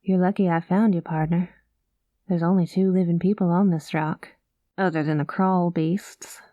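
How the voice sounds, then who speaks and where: A woman voicing a kind, easygoing space cowgirl pilot in an ASMR roleplay, talking gently in a folksy cowboy manner. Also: lowish voice, fairly even intonation, moderate pace, no room sound, close to an everyday voice. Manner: folksy drawling cowboy talk, calls listener partner; gentle and soothing.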